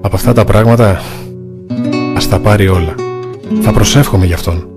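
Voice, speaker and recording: Low-voiced gentle old monk played by a man. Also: close to an everyday voice. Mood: calm, fatherly. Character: gentle old monk abbot, calm and fatherly. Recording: prominent guitar music behind. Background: plucked guitar music.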